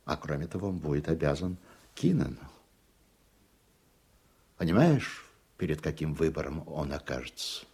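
An actor playing a weary, thoughtful older judge in a radio play, speaking in a reflective, deliberate way. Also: male voice, rumbling low, lively intonation, a little mellow. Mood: troubled, reflective. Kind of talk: Russian radio play acting.

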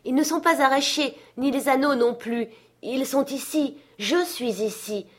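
A woman's voice: fairly high pitch, moderate pace, a little mellow, close to an everyday voice. A woman voicing a giddy, reborn old miser in an exclamatory, breathlessly exuberant storybook reading. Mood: giddy joy.

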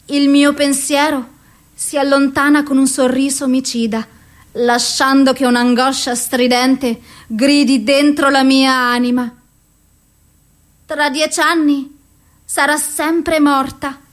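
Actress as slow, high-voiced, anguished broken woman. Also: fairly even intonation. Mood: brooding grief. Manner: slow, deliberate, intense delivery. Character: anguished, self-harming mentally ill woman, broken and lovelorn. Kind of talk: theatrical dramatic monologue.

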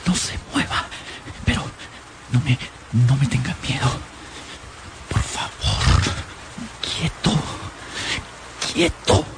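An actor playing a frightened army deserter in a radio drama, pleading politely in broken, breathy phrases. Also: male voice, medium pitch, animated, slow pace, long pauses, heavy background noise. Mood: terrified, urgent.

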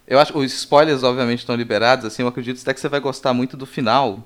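A man with a Brazilian accent hosting a conversational film discussion, explaining things in a halting way. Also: mid-range voice, fairly even intonation, fairly bright tone. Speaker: podcast host.

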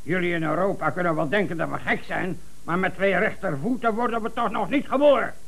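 Creaky, blunt businessman. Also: high-pitched, fairly quick, fairly bright tone, heavily acted. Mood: indignant. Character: blunt, self-satisfied American shoe buyer. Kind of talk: comic radio play acting. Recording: 1970s radio play recording.

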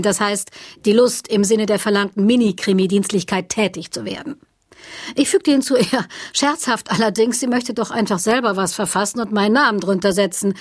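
An actress playing a cool, self-justifying author being interviewed in a radio satire, speaking articulately in formal wording. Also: female voice, mid-range voice, no echo, clean studio sound, close to an everyday voice. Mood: dry, unruffled.

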